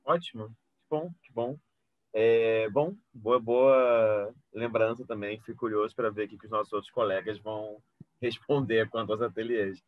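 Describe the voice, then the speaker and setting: A man's voice: lowish voice, fairly even intonation, moderate pace, no room sound. A man in his thirties with a Brazilian accent, talking casually as an interview host on a video call. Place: indoor room with bookshelves, video call. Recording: dry, dull video call audio.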